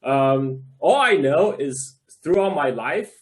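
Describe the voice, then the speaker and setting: A man's voice: fairly high pitch, lively intonation, slow. A man in his forties from China, talking in a casual video-call panel discussion with a headset, often repeating and restarting his words. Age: 40s. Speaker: podcast guest.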